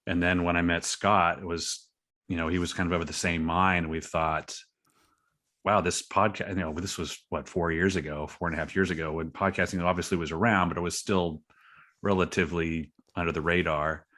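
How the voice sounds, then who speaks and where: A man's voice: low voice, flat delivery, normal speed, a bit bright. A man talking casually as a podcast guest, an indie creator with an earnest, self-deprecating way of explaining his work. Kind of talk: casual interview chat.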